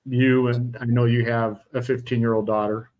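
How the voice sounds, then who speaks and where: A middle-aged man hosting a casual interview podcast, talking in a halting way with many fillers and restarts. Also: mid-range voice, little pitch movement, a little mellow, rough recording. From Utah. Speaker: podcast host.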